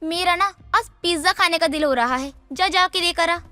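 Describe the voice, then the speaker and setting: A woman's voice: very high voice, normal speed, sharp tone, faint music behind. A woman voicing a haughty young wife in a Hindi story cartoon, snappish, bossy and contemptuous. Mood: scornful, angry. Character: haughty, scornful young wife berating her husband. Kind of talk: Hindi animated story dialogue.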